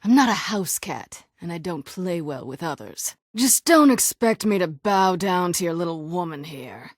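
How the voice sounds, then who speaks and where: A woman's voice: fairly low pitch, no echo, studio quality. A woman voicing a bitter, defiant witch who calls herself an old hag, sardonic and world-weary, in a dramatic video game performance. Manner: sardonic, world-weary, pleading when threatened.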